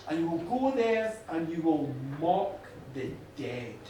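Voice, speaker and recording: A man voicing a calm, commanding wise woman in a folk tale, giving instructions in a measured, solemn way. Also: on the high side, voice pushed down. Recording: distant mic, very echoey space, faint noise.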